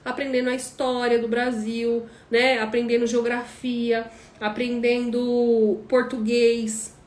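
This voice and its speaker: A woman's voice: a little high, echoey room. A woman from Brazil teaching on a live stream as a course mentor, speaking emphatically, repeating points and asking rhetorical questions.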